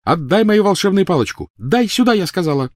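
A man voicing a furious, shrill teenage girl witch in an audiobook reading. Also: big pitch swings, a little fast, no room sound, clean studio sound. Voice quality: shrill, screaming.

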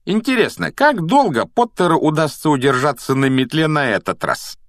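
A man performs a spoiled schoolboy bully from a storybook. The voice sits high and swings mockingly, drawling out each taunt with sneering contempt. The recording is close and dry. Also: very animated, normal speed, no room sound.

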